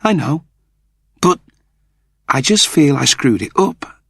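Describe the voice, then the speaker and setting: A man voicing a soft-hearted, regretful everyman hero in a sci-fi audiobook, earnest and plain-spoken. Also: medium pitch, big pitch swings, takes it slowly, creaky, slightly rough, no echo, clean studio sound, voice pushed up, exaggerated voice.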